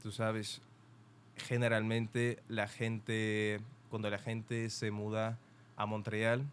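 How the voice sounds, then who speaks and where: A young man from Ukraine with a Ukrainian accent, a podcast guest speaking Spanish in casual talk, pausing and restarting often as he searches for words and laughing now and then. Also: on the low side, completely flat delivery, a little hiss or hum. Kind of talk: casual interview.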